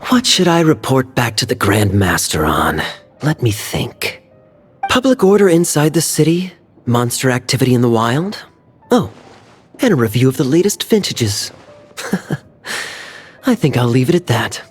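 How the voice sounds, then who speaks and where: A sly fantasy game knight with a very animated, swinging delivery. He is smooth-talking, sardonic and teasing, with faint music underneath. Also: mid-range voice, measured pace, long pauses. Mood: playful, sly. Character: suave, teasing young knight, sly and playful. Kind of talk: video game voice acting.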